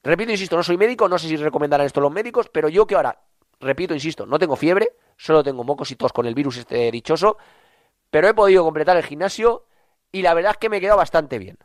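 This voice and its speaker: A man hosts a sports radio show, his voice a touch high for a man and stuffy a cold with a cough. He talks in a chatty way, repeating points for emphasis. The sound is dry and close to the microphone. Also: fast talker, no room sound. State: congested with a cough.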